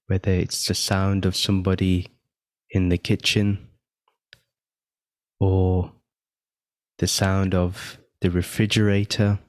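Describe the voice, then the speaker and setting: A man leading a guided meditation, giving gentle step-by-step instructions with long pauses between phrases. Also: low-pitched, monotone, no echo, clean studio sound. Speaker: meditation guide and podcast host.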